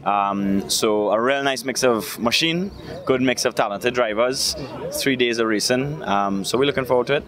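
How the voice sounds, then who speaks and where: Trinidadian man at a busy rally. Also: medium pitch. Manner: relaxed, many "um" fillers, measured. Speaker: rally club president. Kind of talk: outdoor event interview. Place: outdoor rally paddock. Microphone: handheld interviewer mic. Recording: outdoor event with crowd noise. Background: crowd chatter.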